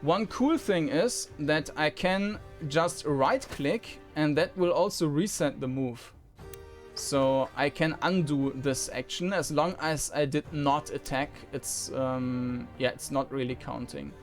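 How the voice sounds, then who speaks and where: A man's voice: on the high side, takes it slowly. A man giving unscripted commentary on a game, thinking aloud in a hesitant way. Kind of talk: unscripted preview commentary over gameplay. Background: game music.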